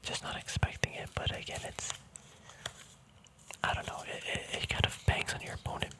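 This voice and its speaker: A man whispers throughout in a breathy, voiceless hush. He talks casually in an ASMR style, murmuring his commentary as if thinking aloud, with a hesitant, unscripted flow. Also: normal speed. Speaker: ASMR video creator. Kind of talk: ASMR, casual rambling commentary on a card deck.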